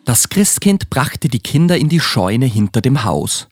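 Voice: mid-range voice, very animated, unhurried, no room sound, clean studio sound. A narrator reading scripted storybook narration for a Christmas radio play.

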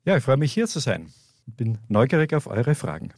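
A man's voice: medium pitch, sing-song, fairly quick, darkish tone, no echo, studio quality. A man with an Austrian accent giving explanatory answers as an expert guest in a radio interview. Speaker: interview guest, astrophysics professor. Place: small radio studio at a school. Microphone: studio microphone.

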